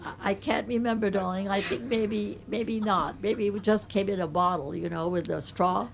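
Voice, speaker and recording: An older woman with a slight Hungarian accent, a native Hungarian speaker, answering audience questions at a book talk in a playful, affectionate, deliberate way. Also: mid-range voice, fairly even intonation, gravelly. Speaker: author and professor giving a book talk. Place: bookstore event.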